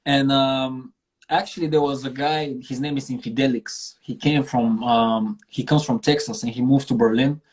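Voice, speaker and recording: A man's voice: mid-range voice, fairly even intonation, moderate pace, a little mellow. Earnest, slangy man from Bulgaria. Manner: slangy, swearing, earnest, emphatic. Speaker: interview guest, singer and street performer. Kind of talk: casual interview storytelling.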